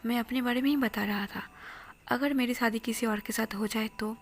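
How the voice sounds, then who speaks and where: A woman's voice: fairly high pitch, steady tone, normal speed, faint music behind, natural voice. A woman whispering the lines of an anxious young middle-class woman in a storybook tale. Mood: fearful. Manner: soft whispering, fearful.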